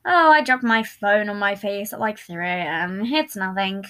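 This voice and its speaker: A woman's voice: high voice, expressive, brisk, a bit bright, voice pushed up. A woman voicing a cornered, salty man who lies by habit, defensive and uneasy with a sarcastic edge, in a dramatic audio story. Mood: awkward, faking.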